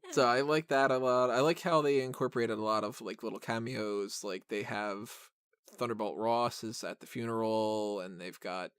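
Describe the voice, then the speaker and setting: Clear-voiced man chatting casually about films. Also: fairly low pitch, steady tone, normal speed, a bit bright, dry recording, clean studio sound. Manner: rambling, informal, mildly profane fan talk. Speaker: podcast host reviewing films. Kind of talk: casual film discussion.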